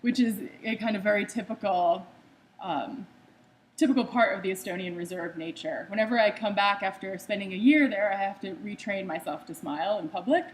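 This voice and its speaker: A woman's voice: medium pitch, brisk, poor audio. A young woman from the United States giving a slide talk about her own art. She speaks conversationally, with frequent ums and uhs.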